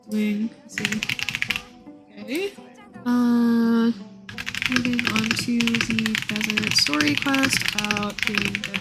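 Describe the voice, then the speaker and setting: A woman game streamer chatting casually and commenting as she plays, informal and meandering as she thinks aloud. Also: mid-range voice. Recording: music playing behind the voice. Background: background music.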